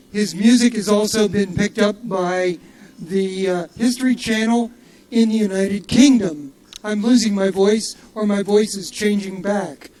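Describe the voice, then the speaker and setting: An older man with a high voice speaks into a stage microphone at an outdoor festival. He runs the show with casual, rambling announcements, full of fillers and little jokes at his own expense. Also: a little mellow, rough recording. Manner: rambling and self-joking, with many fillers. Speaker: festival emcee.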